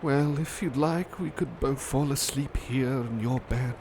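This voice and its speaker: Guy doing a gentle shy butler plushie for asmr, really slow n halting, polite, tender, noisy background. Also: medium pitch, natural voice. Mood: sleepy, affectionate. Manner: hesitant, polite, tender, halting with soft repetitions. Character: gentle, bashful butler turned into a cuddly plush doll. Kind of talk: ASMR roleplay, soothing personal attention.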